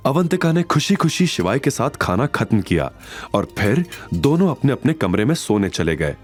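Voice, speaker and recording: A man's voice: medium pitch, sing-song, moderate pace, a little mellow. A man reading scripted dramatic narration as the narrator of an audio story. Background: background music.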